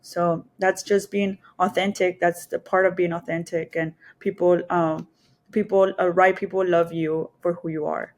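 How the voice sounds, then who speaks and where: A woman's voice: lowish voice, little pitch movement, normal speed. A woman from the Texas and Mexico border with a Mexican Spanish accent, telling personal stories casually and candidly as a podcast guest, thinking aloud as she goes. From Texas and Mexico border region.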